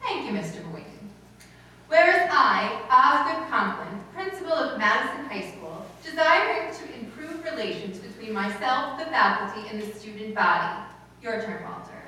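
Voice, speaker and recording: A woman's voice: a little high, sing-song, normal speed, sharp tone. Woman acting a wry schoolteacher, very echoey. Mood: mock formal. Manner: dry, theatrical, sarcastic. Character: wry, wisecracking female high school teacher. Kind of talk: old-time radio comedy acting. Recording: echoey room from far off, faint noise.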